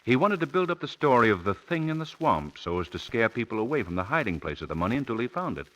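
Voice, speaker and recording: An actor playing a calm, knowing crime-fighter in a measured, theatrical 1940s radio drama style. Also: male voice, medium pitch, low-quality recording. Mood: calm, explaining. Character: calm knowing male crime-fighter, later a menacing avenger. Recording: old 1940s radio broadcast recording.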